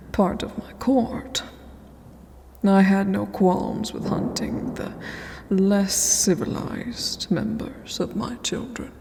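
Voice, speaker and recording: A woman voicing a regal, haughty, ageless vampire queen in a fantasy ASMR roleplay, speaking formally and imperiously in a breathy half-whisper. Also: mid-range voice, background noise. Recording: long cavernous reverb.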